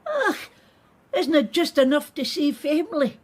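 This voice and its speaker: A woman playing a stubborn, wistful old Scottish aunt with a husky voice in a radio drama. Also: high-pitched, lively intonation, measured pace, a little mellow. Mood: wistful, rueful. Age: older.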